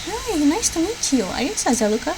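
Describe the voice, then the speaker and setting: A woman's voice: very high voice, very animated, fairly quick, pitched above the performer's own voice. A woman voicing a sweet, eager young witch in a visual novel, gushing, earnest and apologetic. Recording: clear background noise.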